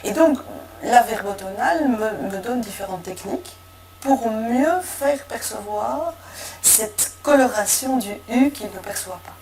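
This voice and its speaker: A woman's voice: a little high, lively intonation, unhurried. Female teacher, demonstrative, crowd in background. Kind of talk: interview testimony.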